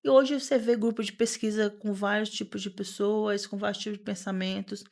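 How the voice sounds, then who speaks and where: An earnest woman in her thirties, speaking in the middle of her pitch range. She talks in a lively, conversational way as an interview guest, circling back with repeated words and fillers as she builds her points. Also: a little fast, gravelly. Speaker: podcast guest.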